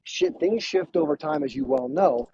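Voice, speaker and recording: A man hosting a live stream, arguing forcefully with a caller and talking over him. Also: very high voice, huge pitch swings, moderate pace, vocal fry. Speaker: livestream host. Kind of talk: heated live debate. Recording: muffled live stream with background noise.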